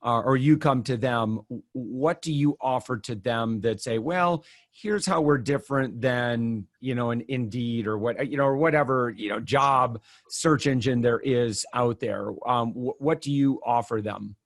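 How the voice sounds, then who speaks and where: A man's voice: medium pitch, a little slow. A man hosting a casual interview, asking questions in a chatty, easygoing way. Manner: chatty, laughs easily. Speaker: podcast host.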